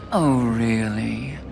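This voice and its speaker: An actor playing a haughty, scornful embodiment of pride, a mocking, drawling fantasy game villain. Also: male voice, mid-range voice, gravelly, heavily acted.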